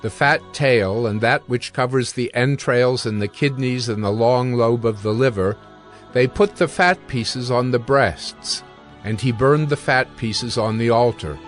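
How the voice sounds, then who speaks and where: A man giving scripted scripture narration in a measured, solemn manner. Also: mid-range voice. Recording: background music under narration.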